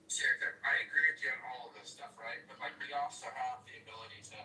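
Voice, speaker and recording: A man's voice: medium pitch, steady tone, fast, sharp tone. A man in a heated group discussion, talking bluntly and insistently. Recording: echoey room, far from mic, faint noise.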